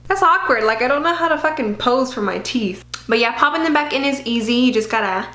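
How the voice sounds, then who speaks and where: A woman's voice: fairly high pitch, animated, brisk, a bit bright. A woman talking casually in a personal vlog, with lots of fillers, occasional swearing and a slight lisp from dental aligners. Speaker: vlogger. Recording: roomy echo.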